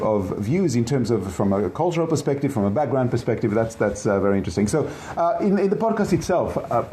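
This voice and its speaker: A man interviewing podcast guests, measured and slightly hesitant with frequent ums. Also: mid-range voice, mellow, slight background noise. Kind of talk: casual interview. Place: conference venue.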